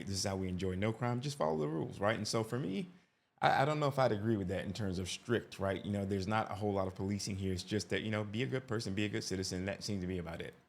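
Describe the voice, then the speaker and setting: A man's voice: on the low side, gravelly. A man giving casual reaction commentary as an expat vlogger, talkative, often tagging "right?" and restarting his sentences.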